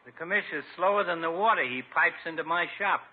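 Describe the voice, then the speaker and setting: Grumbling shopkeeper, quick, rough-voiced, impatient, 1950s radio comedy, tinny band-limited recording. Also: male voice, fairly high pitch. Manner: brisk, griping. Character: impatient, grumbling male small-town shopkeeper.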